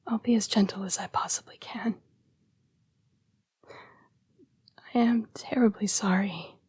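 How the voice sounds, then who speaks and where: A woman voicing an apologetic, protective yet predatory female vampire fighting her bloodlust in an intimate audio roleplay, with hushed, formal, halting speech. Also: medium pitch, steady tone, dry recording. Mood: tempted, apologetic. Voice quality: breathy, whispery. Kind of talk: intimate scripted audio roleplay addressed to the listener.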